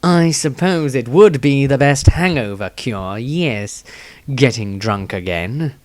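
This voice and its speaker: A man voicing a disdainful, superior man in a fantasy storybook, with a weary, condescending, drawn-out delivery. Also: mid-range voice, lively intonation, a little mellow, no echo. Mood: weary scorn.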